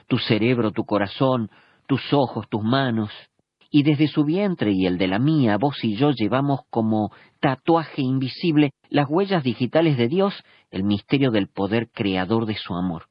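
A man reading a solemn, measured religious reflection for radio. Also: mid-range voice, slightly rough, no room sound. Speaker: radio commentator. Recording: dull, dry radio sound.

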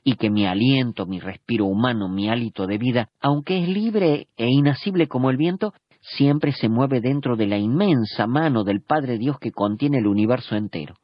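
Male radio commentator, solemn measured reading, dry muffled broadcast sound. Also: medium pitch, no room sound. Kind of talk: scripted religious reflection.

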